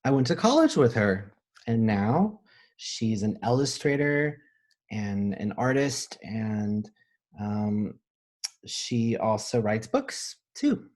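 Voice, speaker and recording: Slow-paced man reading to children. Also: lively intonation, a little mellow. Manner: pauses to pose questions and think aloud; simple explanations pitched at young children. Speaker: elementary school teacher reading aloud. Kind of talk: children's picture book read-aloud with explanations.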